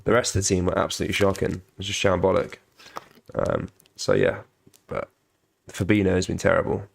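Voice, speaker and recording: A young man hosts a casual football watch-along stream, his voice croaky from a cold and rough with fry. He sits very low in pitch. He talks haltingly, full of ums and restarts, as he reads out viewers' comments. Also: fairly even intonation, a little hiss or hum. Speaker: football channel host.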